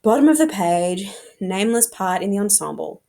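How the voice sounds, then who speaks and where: A woman reading a young adult novel aloud like a teacher, in an informal, expressive storytelling manner. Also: mid-range voice, normal speed, creaky.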